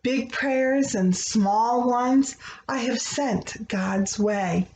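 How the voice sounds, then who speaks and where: A woman reading a rhyming children's picture book aloud, with expressive, deliberate phrasing meant for young listeners. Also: a little high. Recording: roomy echo, faint background noise.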